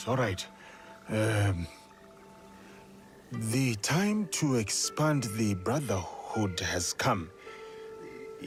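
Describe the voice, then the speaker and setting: An actor playing a calm, earnest man in a video game, speaking slowly and thoughtfully with hesitations. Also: medium pitch. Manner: slow, hesitant, thoughtful reader. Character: calm, earnest male companion translating an old journal. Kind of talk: video game cutscene acting. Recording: music under the voice.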